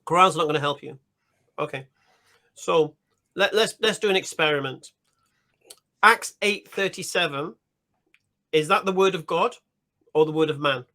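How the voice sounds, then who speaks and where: A man's voice: on the high side, normal speed, long pauses, pushed and bright. A man debating a caller, pressing him with insistent questions and cutting in on his answers. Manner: insistent, interrupting, cross-examining.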